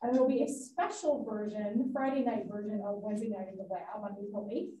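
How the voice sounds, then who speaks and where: A woman hosting a public science event, giving announcements and introducing the speaker in a relaxed, informal way. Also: medium pitch, brisk. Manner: informal, lots of fillers. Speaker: event host. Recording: roomy hall, distant mic, light noise.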